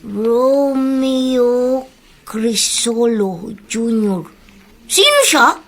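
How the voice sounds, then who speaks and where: A woman's voice: a little high, lively intonation, very slow, exaggerated voice, low-quality recording. A woman with a Filipino accent playing a cheeky, tender-hearted young street boy in a radio drama, speaking in an earnest, childlike way. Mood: curious.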